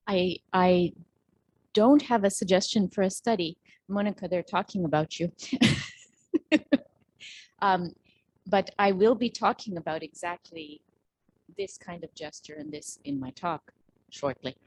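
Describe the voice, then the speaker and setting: Lowish-voiced woman with faint noise behind. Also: measured pace, long pauses, a little mellow, poor audio. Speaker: academic participant and speaker. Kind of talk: informal discussion comment.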